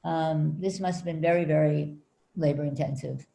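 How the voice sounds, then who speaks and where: A woman interviewing an artist, asking halting, searching questions. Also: low-pitched, steady tone, creaky, mellow. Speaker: interviewer, editor at large. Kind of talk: conversational interview.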